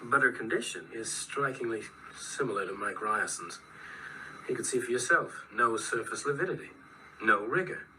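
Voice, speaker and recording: A wry small-town doctor in a radio drama, measured and sceptical. His mid-pitched voice sits a little far off, in a clearly noisy playback. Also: lively intonation, bright, forceful tone. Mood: grave, clinical.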